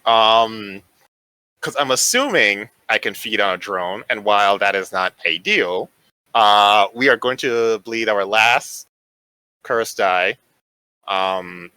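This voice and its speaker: A man's voice: lowish voice, sharp tone, smooth, dry recording, low-quality recording. A man playing a tabletop game, talking casually through his move.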